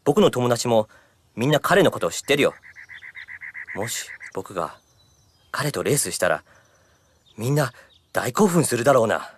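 A man voicing an eager, excited young male race car challenger with a husky voice. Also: medium pitch, fast, long pauses.

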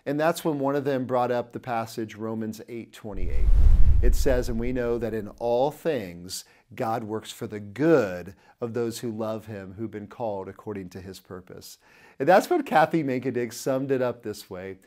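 A man's voice: medium pitch, normal speed, a little mellow. A man in his thirties preaching a sermon to camera, earnestly telling a personal story while gesturing, recorded in an indoor studio on a lapel mic.

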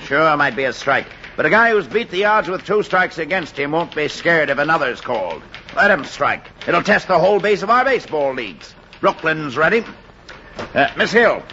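Forceful, animated baseball boss. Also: male voice, on the high side, normal speed. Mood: determined. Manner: emphatic, clipped, commanding. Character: decisive, forceful baseball club chief. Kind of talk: 1940s radio acting. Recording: muffled 1940s radio recording, faint hiss.

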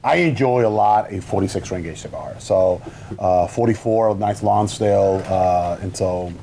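Halting guest man with a mellow, low voice. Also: a little hiss or hum. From Dominican Republic. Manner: halting, restarts often, eager. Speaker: cigar company founder, guest. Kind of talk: casual panel talk. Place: studio set with wood shelves, indoors. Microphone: lapel.

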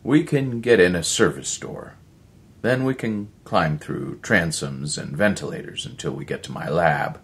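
A man's voice: deep voice, unhurried, a bit bright. A man voicing a grim male scientist in a story, speaking in a grave, explanatory manner.

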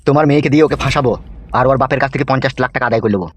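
A man's voice: mid-range voice, rapid-fire, natural voice. A man voicing a conniving, coaxing village schemer in a cartoon. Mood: scheming. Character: sly, scheming male village schemer offering bribes.

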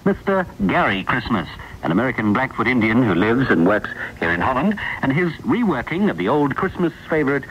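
Lively man presenting on muffled shortwave radio. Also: mid-range voice, fairly quick, hardly any pauses. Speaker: radio show host. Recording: dull shortwave radio recording, faint noise.

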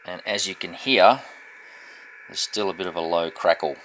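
A man's voice: low voice, moderate pace, fairly bright tone, slight background noise, low-quality recording. A middle-aged man casually talking through a hands-on troubleshooting demo, informal and a little hesitant, step by step. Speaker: hobbyist builder presenting his project.

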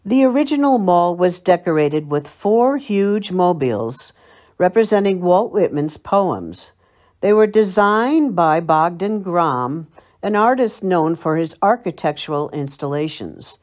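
An older American woman reads scripted narration as a local history presenter. Her voice sits a little low and moves up and down a great deal in pitch. She tells it like someone reminiscing. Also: expressive, a little slow, darkish tone. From Long Island, United States. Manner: storytelling, reminiscing.

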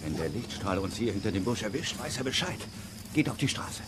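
An actor playing a kindly, worn-out uncle, somewhat breathless, in a children's radio play. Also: male voice, lowish voice, very animated, brisk. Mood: urgent. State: weary, out of breath. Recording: clear background noise.

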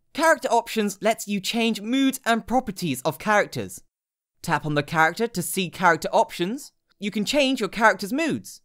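A woman reading a scripted step-by-step tutorial in an instructional manner, giving short, clear directions. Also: mid-range voice. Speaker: tutorial narrator.

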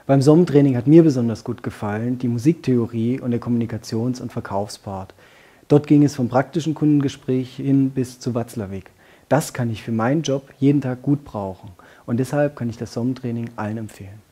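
Man in his twenties, sales, husky, mellow tone, low-key. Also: brisk. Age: 20s. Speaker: sales employee. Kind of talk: testimonial to camera.

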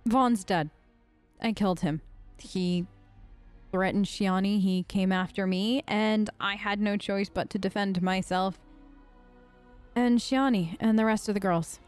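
A woman's voice: mid-range voice, a little slow, a little mellow, soft background music. A woman gaming host doing casual let's play commentary, talking in character. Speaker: gaming host, role-player.

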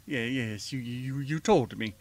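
An actor playing a gentle, earnest older professor with a European accent and halting, formal speech in a radio drama. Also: male voice, medium pitch, natural voice. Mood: shy, halting. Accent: foreign European. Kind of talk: old-time radio drama acting.

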